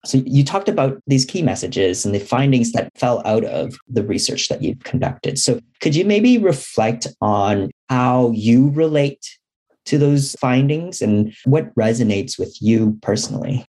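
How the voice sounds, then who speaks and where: A man interviewing a researcher, calmly summarizing her points before asking his question. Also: medium pitch, a little slow.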